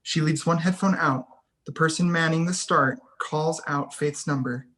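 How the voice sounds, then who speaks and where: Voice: medium pitch, normal speed, fairly bright tone. A person reading scene headings and stage directions as scripted narration for a screenplay table read.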